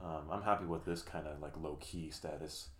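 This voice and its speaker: Deep-voiced laid-back young man. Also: fairly even intonation, fairly quick, a bit bright, reverberant. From California, USA. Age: 20s. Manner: laid-back, fillers, teasing. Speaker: podcast co-host. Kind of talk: casual chat.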